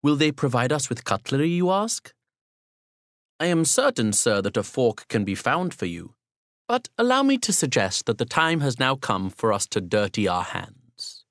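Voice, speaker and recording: A man's voice: medium pitch, sing-song, moderate pace, a bit bright, no echo, clean studio sound. A man playing an elaborately courteous young host. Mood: courteous, coaxing. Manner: formal, elaborately polite, reassuring. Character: courteous, talkative young Pakistani man hosting a foreign guest.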